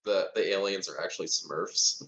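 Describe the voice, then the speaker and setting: Lowish-voiced man bantering at game. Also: moderate pace, sharp tone, poor audio. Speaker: player in a tabletop game. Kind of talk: casual joking banter.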